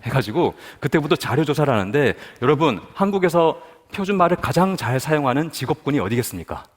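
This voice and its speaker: A man's voice: a little high, sing-song, fast talker, long reverb tail. A man from Busan giving a personal testimony at a prayer meeting, telling his story and asking the audience questions. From Busan, South Korea. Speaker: guest speaker at a prayer meeting.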